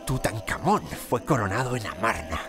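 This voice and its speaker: An actor playing a drunk, feverishly obsessed archaeologist in an audio drama, erratic and insistent. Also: male voice, on the low side, very animated, moderate pace, music in the background. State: acting drunk.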